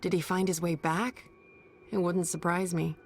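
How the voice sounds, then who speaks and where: An actress playing a brisk young woman who mutters to herself while gathering potion ingredients. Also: fairly low pitch, flat delivery, slight background noise, natural voice. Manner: muttering to herself as she works.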